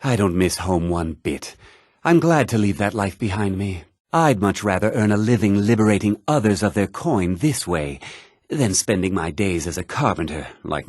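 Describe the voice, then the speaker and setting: A man playing a self-assured, smooth-talking thief and merchant in a fantasy video game, with an expressive conversational delivery. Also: low voice, dry recording, clean studio sound, heavily acted. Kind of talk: scripted fantasy game character dialogue.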